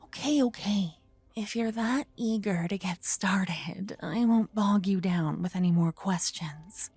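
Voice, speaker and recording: A woman playing an adorable young aspiring paladin in an ASMR roleplay, caring and teasing, soft-spoken and easily flustered into stammers. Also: medium pitch, slow pace, husky, dry recording. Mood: teasing, bashful.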